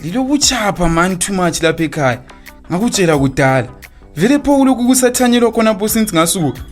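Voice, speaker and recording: A man's voice: high-pitched, big pitch swings, quick pace, faint music behind. A man with a Zimbabwean accent acting an evasive, philandering husband in a radio drama, stammering through his excuses. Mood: defensive, irritated. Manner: stammering excuses, switching into English phrases. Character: evasive philandering husband and father, smooth-talking, defensive.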